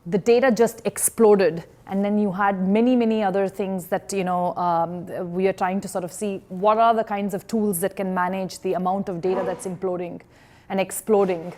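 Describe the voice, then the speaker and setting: A woman's voice: mid-range voice, steady tone, normal speed, a little mellow. A woman in her forties, born in India and raised in Singapore, giving a conference talk as an engineer turned investor, speaking hesitantly with many fillers and restarts.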